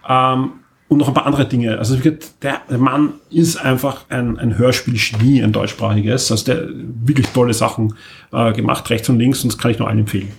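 Creaky-voiced man, enthusiastically chatting. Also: mid-range voice, fairly even intonation, fairly quick, a little hiss or hum. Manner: enthusiastic, wordy monologues with repeated emphasis. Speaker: podcast co-host. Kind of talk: casual enthusiastic chat.

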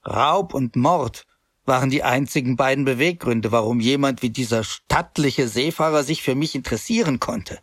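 A man voicing a grown man who narrates his guilt-ridden youth in a radio drama, measured and pious in his telling. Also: medium pitch, a bit bright, no echo, studio quality, close to an everyday voice. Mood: remorseful. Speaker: first-person narrator.

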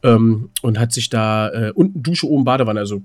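Halting, jokey man chatting casually. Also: mid-range voice, a little mellow. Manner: halting, stammering, repeats words and phrases. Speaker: podcast co-host. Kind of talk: casual rambling podcast chat.

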